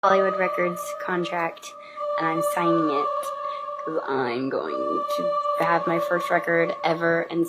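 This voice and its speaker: A woman chatting casually and excitedly in an archive clip. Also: lowish voice, unhurried, poor audio. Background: loud music.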